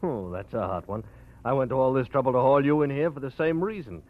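A man's voice: medium pitch, fast, natural voice. A man playing a blustering gangster boss. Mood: persuasive. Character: smooth male gangster boss, wary and bargaining. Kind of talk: 1950s radio drama acting. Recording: thin, phone-like old radio audio.

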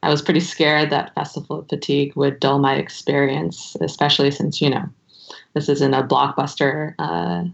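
A woman with a creaky voice discussing film conversationally on a slightly dull recording. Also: low-pitched, steady tone, moderate pace. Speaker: film podcast panelist.

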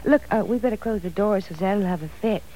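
Radio drama: actress as a worried young bride-to-be, mellow voice, old transcription hiss. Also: female voice, mid-range voice, quick pace, close to an everyday voice, rough recording. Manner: soft, anxious. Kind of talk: 1940s radio acting.

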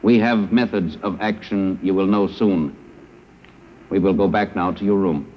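An actor playing a coldly logical alien interrogator in a radio drama, speaking in stilted, formal, emotionless phrases. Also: male voice, sits low, unhurried, heavy background noise. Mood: cold, commanding. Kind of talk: 1950s radio drama acting. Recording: muffled vintage radio recording.

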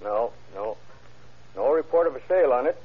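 A methodical police examiner in a vintage radio crime play. He has a husky voice and a careful, deliberate manner. The sound is muffled. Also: mid-range voice, expressive. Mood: careful, musing. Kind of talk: old-time radio crime drama acting.

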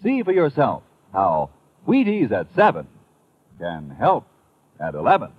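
A man delivering an upbeat, emphatic sales pitch as a commercial announcer in 1950s radio advertising style. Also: wildly swooping pitch, measured pace. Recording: thin phone-like old radio recording.

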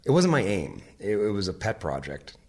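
A young man, a sound engineer, chatting casually as a guest in an interview, relaxed and rambling, laughing easily. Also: fairly low pitch, moderate pace, breathy edge.

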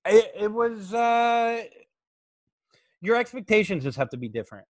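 A man's voice: high-pitched, sing-song, unhurried, dry recording, clean studio sound. A man chatting casually as a comedy podcast host, loose, rambling and jokey.

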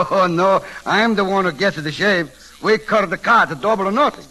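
An actor playing a chatty, excitable immigrant barber with an Italian accent and broken English, in old-time radio comedy style. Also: male voice, high voice, normal speed, rough recording. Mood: friendly, excitable.

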